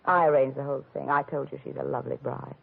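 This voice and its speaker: A woman's voice: rumbling low, fairly even intonation, fast talker, gravelly, breathy edge, full character voice. A woman playing a tart, bitter secretary in a 1940s radio drama, clipped and sarcastic. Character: tart, bitter female secretary, briskly superior. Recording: thin, narrow vintage radio recording.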